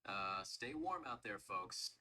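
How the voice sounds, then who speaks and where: A man's voice: fairly low pitch, sing-song, takes it slowly, pushed and bright, distant mic, heavily acted. A man playing an easygoing late-night radio host chatting casually with a caller.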